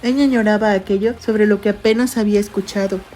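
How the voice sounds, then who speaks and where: Woman, mellow tone, medium pitch, scripted story narration, faint music behind. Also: steady tone, quick pace. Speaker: audio drama narrator. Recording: music bed under voice. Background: background music.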